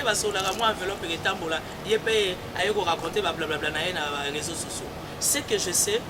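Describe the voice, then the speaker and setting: Emphatic Congolese man over street traffic. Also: high-pitched. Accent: Congolese French. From Congo-Kinshasa. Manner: emphatic, repeats key phrases, mixes in Lingala. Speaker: church spokesperson and political commentator. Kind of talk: heated political debate. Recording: loud street traffic noise.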